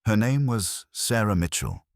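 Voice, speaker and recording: A man voicing a somber highway patrol officer who speaks quietly and gravely in a horror story. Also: very animated, measured pace, dry recording, studio quality.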